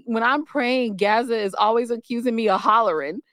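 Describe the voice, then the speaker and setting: Female co-host, fairly high voice, close dry sound, playfully argumentative and expressive in casual couple banter. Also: normal speed, no echo. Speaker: co-host of a couple's relationship show. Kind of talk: casual, teasing relationship banter.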